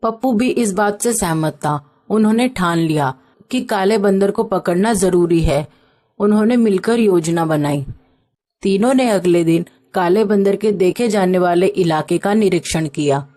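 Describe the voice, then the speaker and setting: A woman's voice: mid-range voice, measured pace, warm tone. A woman reading a scripted story as its narrator.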